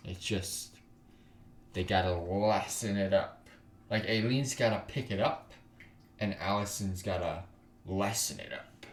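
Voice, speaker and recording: Deep-voiced man doing game commentary. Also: roomy sound, a little hiss or hum. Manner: casual, rambling, filler-heavy. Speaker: gaming channel host. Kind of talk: let's play commentary.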